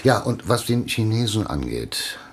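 An actor playing a blustery, fanciful middle-aged male boss in a German radio play, fussy, insistent and husky. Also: fairly low pitch, sing-song, measured pace, full character voice. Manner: fussy, insistent, repeats himself.

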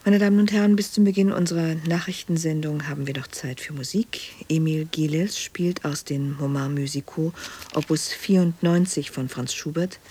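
A woman's voice: low-pitched, steady tone, moderate pace, warm tone, a little hiss or hum. A woman radio announcer reading a formal scripted announcement.

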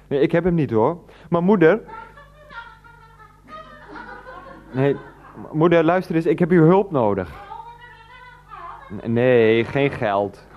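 Pleading, swooping grown son. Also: male voice, on the high side, animated, unhurried, a faint crowd. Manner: stammering, coaxing, often interrupted. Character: pleading, wheedling grown son phoning his mother. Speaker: radio drama actor. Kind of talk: 1990s Dutch radio play acting. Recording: dull, band-limited radio recording.

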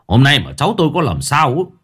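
A man voicing a quick-tempered, flustered older village husband who snaps and then pleads. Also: mid-range voice, huge pitch swings, fairly bright tone, pitched above the performer's own voice, full character voice. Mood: scolding. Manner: gruff, pleading.

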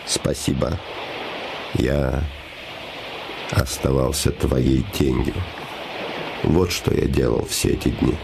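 A man's voice: very deep voice, fairly even intonation, slow pace, creaky, long pauses, background noise, exaggerated voice. An actor playing a man's scheming living shadow that poses as a devoted friend, insinuating, solemn and emphatic, in a theatrical fairy-tale play. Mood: smooth devotion. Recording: music behind the voice.

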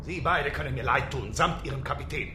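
An actor playing a defiant, sceptical man aboard a submarine in a radio drama, speaking in a gruff, emphatic, scornful way. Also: medium pitch, brisk, lightly acted. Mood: bitter. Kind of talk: German radio drama acting. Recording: echoey room, noisy radio drama recording.

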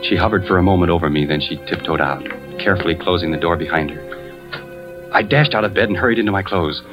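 Casting: male actor as anguished American investigator husband, low voice, tense suspense narration, dull vintage radio sound. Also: quick pace, close to an everyday voice. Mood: brooding, tense. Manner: tense, dramatic delivery. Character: suspicious, anguished husband, an insurance investigator narrating his story. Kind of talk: 1940s radio drama acting, first-person suspense narration. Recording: dull 1940s radio recording with music.

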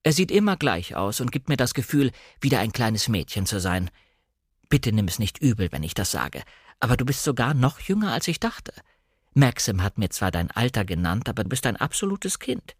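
A man's voice: medium pitch, big pitch swings, moderate pace, breathy edge, dry recording, clean studio sound. A man voicing a bossy, outspoken upper-class country woman in a dramatic novel reading. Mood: frank, confiding. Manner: outspoken, chiding.

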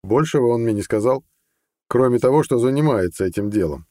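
A man's voice: medium pitch, expressive, a little fast, a little mellow, no echo, studio quality, voice pushed up, close to an everyday voice. A man voicing a blunt, ambitious army colonel, plain-spoken and earnest, in an audiobook. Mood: explanatory. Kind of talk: audiobook character dialogue.

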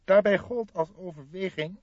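Casting: male reader playing pompous police chief, raised pitch, affected solemn phrasing with weighty pauses, dry sound. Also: dry recording, highs cut off, close to an everyday voice. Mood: solemn, formal. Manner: affected, solemn, pausing for effect. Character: pompous, affected male police commissioner, formal and self-important. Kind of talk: audiobook character dialogue.

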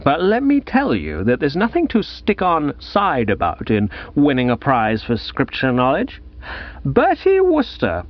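A man voicing a tipsy, haughty, belligerent young gentleman with drawn-out, accusing emphasis in a comic audiobook. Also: on the high side, wildly swooping pitch, muffled recording, full character voice. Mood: scornful. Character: tipsy, cocky, belligerent young gentleman handing out school prizes.

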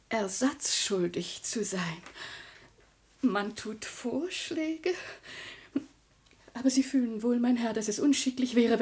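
A coy young widow from a small town in a radio comedy. Her voice sits slightly high. She is affected and simpering, eager yet flustered. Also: dark tone. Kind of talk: stage comedy radio acting.

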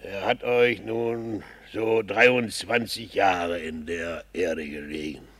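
A man's voice: sits low, steady tone, slow, fairly bright tone, full character voice. A man in a radio drama playing a cheeky, earthy peasant gravedigger who drawls colloquially and quibbles. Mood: earthy, explanatory. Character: cheeky male gravedigger, earthy peasant clown, singing at work. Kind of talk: classical radio drama acting.

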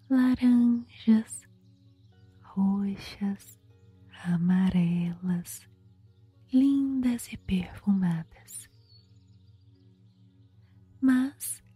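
Brazilian woman for ASMR bedtime storytelling: dark, soothing whisper, unhurried delivery with long pauses, music underneath. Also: mid-range voice, no echo. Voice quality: breathy, whispery. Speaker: guided meditation host and storyteller. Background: background music.

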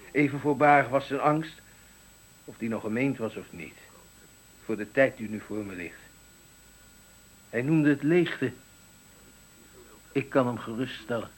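An actor playing an embittered, eloquent factory worker of sixty-five, giving a dramatic, deliberate farewell speech in a radio play. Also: male voice, mid-range voice, slightly rough, full character voice, poor audio. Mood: bitter, measured. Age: 60s. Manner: oratorical, dramatic, deliberate phrasing. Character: embittered eloquent 65-year-old male factory worker at his retirement.

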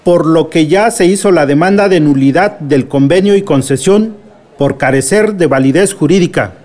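A man's voice: a little high, normal speed, a little mellow, natural voice. An actor playing a village man giving a formal report in a radio drama. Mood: matter-of-fact.

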